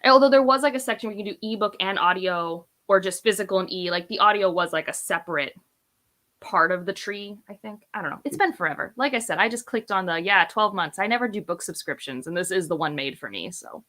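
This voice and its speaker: A woman's voice: mid-range voice, expressive, quick pace, sharp tone. A woman chatting casually as a co-host, in a rambling, self-deprecating way. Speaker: book reviewer, read-along co-host. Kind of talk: casual chat.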